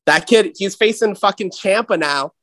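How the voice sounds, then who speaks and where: A man hosting a comedy wrestling show, ranting with heavy profanity and excitement. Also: sits high, moderate pace. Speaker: host.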